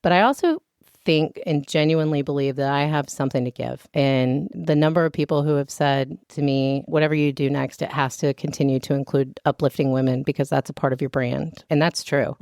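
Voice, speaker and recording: A gravelly woman in her fifties talks through her values as an interview guest, earnest and sometimes emphatic. Her voice sits at a middle pitch and is caught close and dry, with no room around it. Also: steady tone, a little fast, a little mellow, no echo. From Texas. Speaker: guest, company founder. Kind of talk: candid interview answers.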